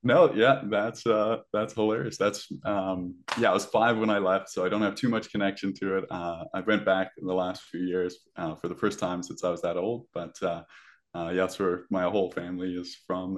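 A man's voice: deep voice, a little fast. A young man who grew up in Ireland, chatting casually as a podcast guest with a faint Irish accent and lots of fillers. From Zimbabwe. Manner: relaxed, many fillers.

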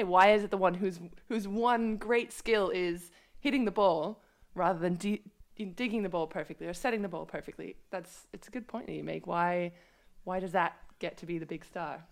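A woman co-hosting a podcast over a video call, talking in a thoughtful, measured way. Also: medium pitch. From USA. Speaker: podcast co-host. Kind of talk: casual chat. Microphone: video call mic.

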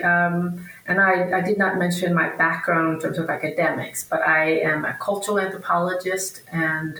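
A woman from Denmark, a native Danish speaker in her fifties, speaks in a fairly low voice with plenty of creak. She gives halting, conversational interview answers, thinking as she goes. The sound is roomy and echoey. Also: fairly bright tone. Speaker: podcast guest, researcher and academic. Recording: roomy echo, distant from microphone.

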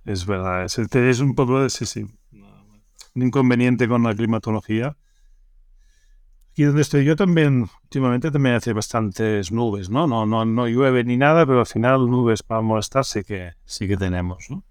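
A man's voice: mid-range voice, normal speed, smooth, no echo, studio quality. A man from Barcelona with a Catalan accent, chatting casually as an interview guest, often ending phrases with checking tags. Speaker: podcast guest.

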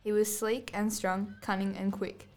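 A woman's voice: medium pitch, flat delivery, a little slow, darkish tone, faint music behind. A girl of about eleven or twelve reading scripted storybook narration aloud as a student podcast host. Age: child, about 11 to 12.

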